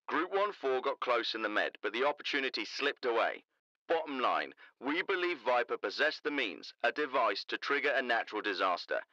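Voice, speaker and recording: A man's voice: mid-range voice, big pitch swings, moderate pace, pushed and bright, dry recording, dull audio. A man acting as a determined special forces captain, speaking bluntly and urgently through a military radio filter in a video game. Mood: blunt, factual. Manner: blunt, urgent, matter-of-fact. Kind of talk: video game cutscene dialogue, radio report. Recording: band-limited military radio transmission.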